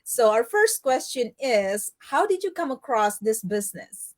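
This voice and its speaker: Higher-voiced woman hosting a live interview. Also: very animated. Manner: friendly, halting welcome. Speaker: interview host.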